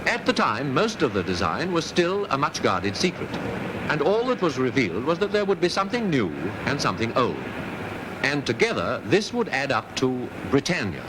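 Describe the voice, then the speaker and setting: A man's voice: medium pitch, noisy background. A man with a British Received Pronunciation accent narrating a 1950s newsreel in a formal, polished, emphatic way. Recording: vintage 1950s newsreel soundtrack with music. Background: music.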